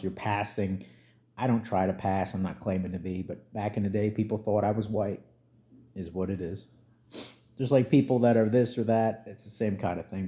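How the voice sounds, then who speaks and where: Middle-aged New man, low voice, blunt vlog commentary; dull lo-fi sound. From New Jersey, USA. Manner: blunt, conversational, emphatic rants with plain talk. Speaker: YouTube commentator. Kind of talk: casual spoken commentary vlog.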